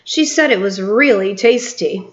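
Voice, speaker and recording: A woman voicing a male waiter who calls out loudly to his workmates, gossipy and amused. The voice stays close to her own, with a slightly dull recording. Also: high-pitched, expressive, measured pace. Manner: loud call to colleagues.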